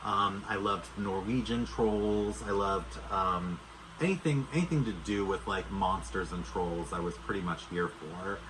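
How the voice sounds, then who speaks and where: Middle-aged male vlogger, slightly deep voice, sincere and chatty, light background noise. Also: unhurried. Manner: enthusiastic, sincere, rambling. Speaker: doll maker and vlogger. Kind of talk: casual chat to camera.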